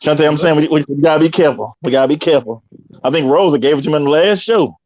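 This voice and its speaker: A man's voice: on the high side, very fast, lo-fi sound. A man in casual chat who has a bad cough and talks in a joking way. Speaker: co-host.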